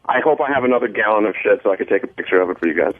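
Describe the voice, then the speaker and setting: A man's voice: lowish voice, lively intonation, rapid-fire, no room sound. A man phoning in to a radio show for a casual chat, blunt and full of swearing. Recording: dull lo-fi phone line.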